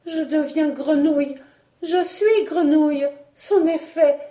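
Fragile storybook girl , voiced by a woman: apologetic, easily distressed, raised pitch, roomy sound. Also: lively intonation, slow pace, warm tone. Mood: despairing. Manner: pleading, exclaiming. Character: frightened, apologetic girl in despair, named Marguerite.

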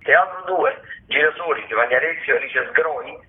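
A man, a theatre critic giving a radio listings rundown in a halting, rephrasing manner. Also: fairly high pitch. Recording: thin tinny phone line.

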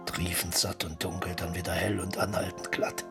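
A man's voice: low-pitched, level tone, moderate pace, gravelly, music in the background. An actor playing a brooding, lyrical man in a German period radio drama, theatrical and poetic in his delivery. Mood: mournful, rapt.

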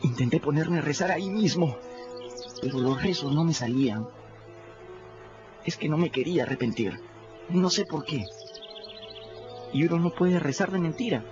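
Narrador masculino serio y reflexivo. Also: male voice, lively intonation, moderate pace, pauses often. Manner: earnest, reflective, rises in pitch when moved. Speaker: narrator voicing a boy. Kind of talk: first-person radio drama narration. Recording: muffled radio audio with music.

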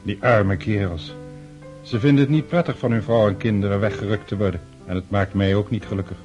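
An actor playing a genial, talkative middle-aged count in a Dutch radio drama. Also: male voice, lowish voice, fairly quick, darkish tone, close to an everyday voice. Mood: genial, musing. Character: genial older Russian count, talkative, careless with money. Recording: muffled old radio recording, music behind.

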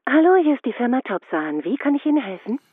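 An actress playing a brisk, polite woman on the telephone in a radio drama, with dull, band-limited sound. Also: sits high, sing-song, very noisy. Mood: businesslike.

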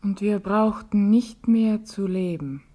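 An actress playing a serene, contemplative woman in a literary radio play, with calm, deliberate, poetic delivery. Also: medium pitch.

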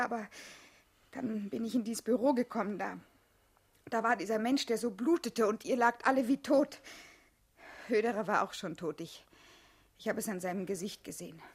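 An anxious young woman in a radio drama, her voice notably high. She speaks in a hesitant, searching way, naive and pleading. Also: moderate pace, long pauses, husky. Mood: shaken. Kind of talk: mid-century German radio play acting.

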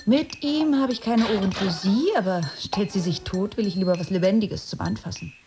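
An actress playing a restless, frustrated writer in an introspective radio drama monologue, speaking deliberately and poetically. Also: female voice, medium pitch, a crowd in the background, close to an everyday voice. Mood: wistful. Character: restless, frustrated writer longing for her silent inner voice.

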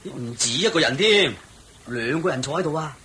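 高而明亮、隨和多嘴嘅旅人. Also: male voice, a little high, lively intonation, moderate pace, pushed and bright. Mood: placating, brisk. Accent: Hong Kong Cantonese. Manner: chatty, placating. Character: easygoing, chatty male treasure-hunting peacemaker. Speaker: radio drama actor. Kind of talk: Cantonese radio drama acting. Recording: faint background noise.

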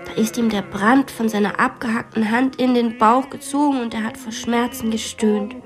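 A high girlish voice played by a woman tells a macabre make-believe story in a radio drama. She is earnest, imaginative and bossy. Faint music sits behind her. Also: steady tone, normal speed, close to an everyday voice. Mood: hushed, macabre. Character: imaginative, bossy young girl telling a macabre hospital game.

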